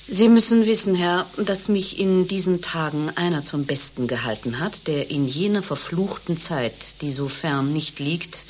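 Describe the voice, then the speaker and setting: A woman's voice: sits low, slight background noise. A woman playing a foul-mouthed abbess with a shady past in a satirical radio play, swinging theatrically between curses and pious apology. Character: foul-mouthed abbess, reformed prostitute, falsely contrite.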